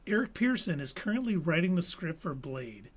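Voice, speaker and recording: A man co-hosting a live podcast, giving firm, animated opinions in casual chat. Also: a little high, moderate pace, slightly rough. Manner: opinionated, animated movie talk. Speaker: podcast co-host.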